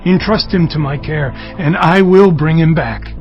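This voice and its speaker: An actor playing a desperate, earnest eldest son pleading emphatically in a dramatized audio Bible. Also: male voice, fairly high pitch, unhurried, close to an everyday voice. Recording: slightly muffled, music behind.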